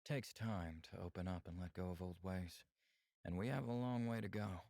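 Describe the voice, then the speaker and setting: Very deep, reserved male alien. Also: moderate pace, gravelly, warm tone, no room sound, clean studio sound. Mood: calm, candid. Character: reserved, candid male alien lover from a conservative clan. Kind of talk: visual novel character acting.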